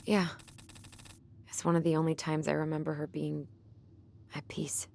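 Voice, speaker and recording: An actress voicing a wistful, playful young adult twin sister in a naturalistic video game style, expressive and wry. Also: female voice, low-pitched, normal speed, gravelly, slight background noise. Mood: uneasy, wistful.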